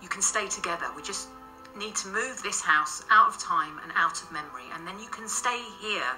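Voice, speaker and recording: A woman's voice: on the low side, animated, normal speed, pushed and bright, faint music behind, rough recording. A woman playing a wry, breezy female paranormal agent in an audio drama, with dry sarcasm. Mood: businesslike.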